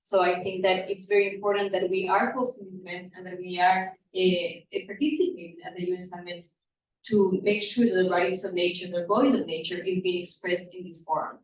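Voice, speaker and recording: A woman's voice: on the low side, little pitch movement, a little fast. Ecuadorian-accented woman speaking in a very echoey room. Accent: Ecuadorian Spanish. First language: Spanish. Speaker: activist, panel speaker at a conference. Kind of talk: public presentation to a live audience. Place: indoor event room. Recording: echoey room, distant mic, background noise.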